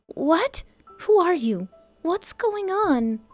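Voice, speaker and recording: A woman voicing a fierce, angry young woman with magic in a fantasy audio story, speaking in a demanding, sharp-tongued way. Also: very high voice, sing-song, extremely slow, soft background music, pitched above the performer's own voice, full character voice. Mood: alarmed.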